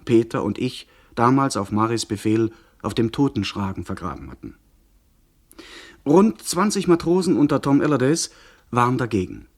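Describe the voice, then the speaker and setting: Male actor as first-person adventure narrator, middle register, measured, scripted, vintage radio drama. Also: mid-range voice, a little mellow, close to an everyday voice. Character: pirate-tale narrator recalling his own adventures, measured. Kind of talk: scripted narration in a 1970s German radio play.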